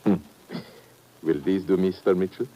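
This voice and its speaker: A grim, earnest Czech man played by a male actor with a fairly low voice. He speaks formally and carefully, in the style of a vintage radio thriller. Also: fairly even intonation, moderate pace, dull audio, natural voice. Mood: conspiratorial. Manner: formal, careful, uncontracted phrasing. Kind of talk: old-time radio thriller acting.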